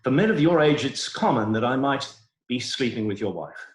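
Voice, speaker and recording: A man acting as a smug doctor in a comic stage reading. He is mock-solemn and clinical, hesitating as he goes, in a medium-pitched voice. The sound is echoey, from a room on a video call. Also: lively intonation, moderate pace, lightly acted. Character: smug male doctor, gravely sympathetic yet shameless.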